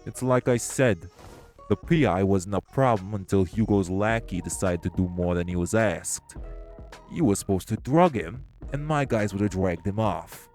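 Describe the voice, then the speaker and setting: A hot-tempered gangster boss, acted by a man in an audio drama, speaking in a very low, deep register. He is blunt, vulgar and always ready to fight. Faint music plays underneath. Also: moderate pace, darkish tone, lightly acted. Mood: hostile. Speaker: club-owning gangster. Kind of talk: audio roleplay acting.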